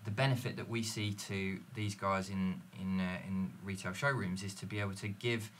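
Guy, lowish voice, explaining his company's story on a panel, faint room hum. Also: fairly even intonation, moderate pace, fairly bright tone. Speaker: company representative. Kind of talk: panel discussion. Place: dim studio lounge with screen.

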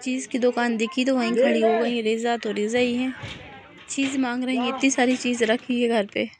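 A woman's voice: on the high side, little pitch movement, moderate pace, crowd noise. A woman, narrating a family vlog in a casual way. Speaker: vlogger.

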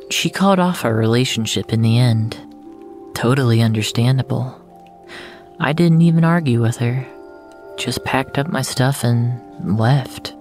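Male actor as gloomy ex-boyfriend narrator for audio drama: unhurried, confessional, full of regret, music underneath. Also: mid-range voice, close to an everyday voice. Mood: glum, resigned. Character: depressed, regretful ex-boyfriend narrating his own story. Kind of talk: scripted first-person audio drama narration.

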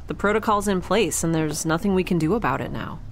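A woman's voice: on the low side, animated, fairly quick, a little hiss or hum. An actress playing a stubborn, wry young woman in a space audio drama, with a casual, quippy delivery. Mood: stubborn, urgent.

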